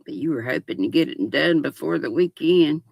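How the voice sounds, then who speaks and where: A woman's voice: low-pitched, expressive, normal speed, creaky, darkish tone, no echo. An older woman hosting a casual livestream, chatting folksily and giggling as she reads viewer comments aloud. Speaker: livestream host.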